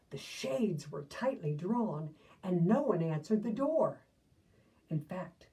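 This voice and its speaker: A woman reads a storybook aloud at an unhurried pace, stopping to talk gently with young listeners. Her tone is mellow and soft-edged. She sounds slightly distant from the mic. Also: fairly low pitch. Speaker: storybook reader. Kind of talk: reading a picture book aloud to children.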